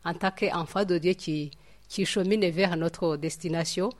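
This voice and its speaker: A woman's voice: on the low side, fairly even intonation, unhurried. A woman from Rwanda leading a Bible study in French. She talks in a hesitant, reflective way, with many fillers and repeated words. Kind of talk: informal Bible teaching.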